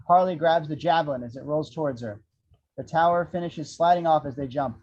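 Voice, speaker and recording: A man with a fairly high voice reads screenplay action as narrator, tossing in wry, irreverent asides. The recording is dull and lo-fi, and the delivery is that of an amateur table read of an action script. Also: a little mellow. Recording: dull, lo-fi audio.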